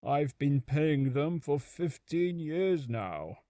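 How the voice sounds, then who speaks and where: A man's voice: a little high, animated, slow pace, mellow, dry recording. A man voicing a pompous, blustering, nostalgic older lawyer in a video game. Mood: wistful. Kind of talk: video game character acting.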